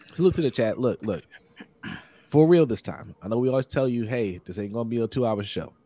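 A hoarse man hosting a casual live-streamed sports podcast, upbeat and repetitive, reading out viewer comments and giving shout-outs. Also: medium pitch, animated, fairly quick, dry recording. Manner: upbeat, repeats phrases, many shout-outs. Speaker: podcast host.

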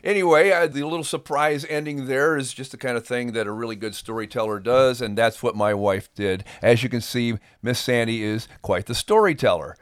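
A man's voice: mid-range voice, clear, resonant tone, no room sound, studio quality. A man co-hosting a podcast in a casual, conversational discussion style. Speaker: podcast co-host.

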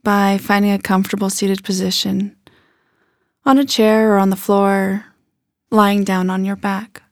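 A woman leading a guided body scan meditation in a gentle, unhurried manner with soft phrase endings. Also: mid-range voice, steady tone, dry recording, clean studio sound.

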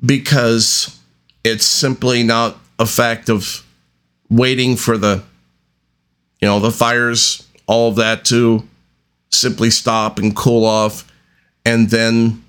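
A man hosting a podcast alone, talking off the cuff in a deliberate, halting way with long pauses between phrases. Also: mid-range voice, fairly bright tone, clean tone. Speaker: podcast host. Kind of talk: unscripted solo podcast monologue.

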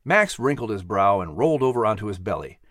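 A male storyteller reads a children's audiobook with expression. His mid-pitched voice moves up and down in a lively way, and the recording is dry, with no room sound. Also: quick pace, no echo. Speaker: storyteller and host.

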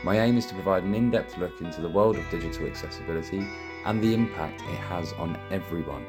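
A man hosting a podcast, friendly with many ums as he interviews a guest. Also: deep voice. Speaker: podcast host. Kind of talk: scripted podcast intro and interviewing.

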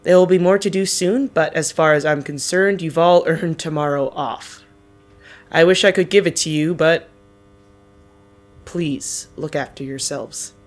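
A woman's voice: deep voice, unhurried, faint music behind. A woman playing a weary, considerate team leader in an audio drama. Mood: gentle, tired.

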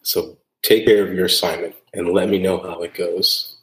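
A man's voice: deep voice, flat delivery, moderate pace, fairly bright tone, echoey room, poor audio. A man hosting a solo podcast episode, talking earnestly and repeating phrases for emphasis, often adding "right?". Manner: frequent tag "right?". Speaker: podcast host.

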